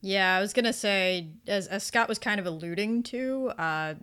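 A woman's voice: medium pitch, normal speed, fairly bright tone, clear, resonant tone. A woman co-hosting a podcast, talking casually and emphatically as she gives enthusiastic reviews. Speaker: podcast co-host reviewing anime.

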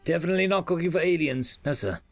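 A man's voice: a little high, quick pace, gravelly, soft background music, pitched above the performer's own voice. A man voicing a talkative, nervous male head chef in his late fifties in an audiobook. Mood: nervously emphatic. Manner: emphatic, chatty, hesitant. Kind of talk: audiobook character dialogue.

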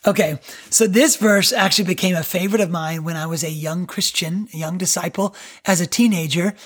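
A man, a preacher, talking earnestly about faith on a podcast, with a raspy voice and many fillers. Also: high-pitched, a little fast, a bit bright. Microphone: podcast microphone.

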